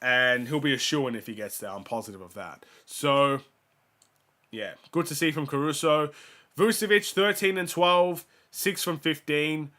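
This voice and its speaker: A man's voice: a little high, measured pace. A young man hosting a fan watchalong, giving casual play-by-play and stats while sick, his voice starting to go. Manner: rattles off stats, gets louder on big plays. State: sick, voice going. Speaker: fan host of a watchalong stream.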